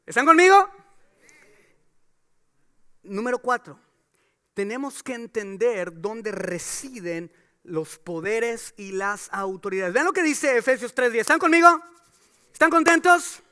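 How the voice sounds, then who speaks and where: Bright high-voiced preacher. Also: male voice, lively intonation, measured pace, pauses often, clear, resonant tone. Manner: preaching with rhetorical questions, rising to emphatic calls. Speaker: pastor. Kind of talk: sermon.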